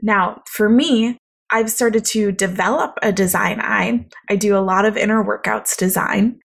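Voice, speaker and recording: A young woman with a naturally quiet voice, talking reflectively in a solo podcast monologue. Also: mid-range voice, unhurried, creaky, breathy edge. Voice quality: quiet, soft-spoken voice. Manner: reflective, conversational, thoughtful. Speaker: podcast host.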